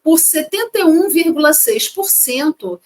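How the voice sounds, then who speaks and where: A woman from Rio de Janeiro co-hosting a casual chat, lively and chatty as she reads facts from her notes. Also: sits high, a little slow. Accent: Rio de Janeiro Portuguese. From Brazil. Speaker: co-host.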